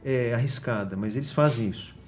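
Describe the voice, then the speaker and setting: A Brazilian man, a guest professor, explaining in an interview with frequent pauses and restarts. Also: mid-range voice. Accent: Brazilian Portuguese. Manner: explanatory, many fillers and restarts.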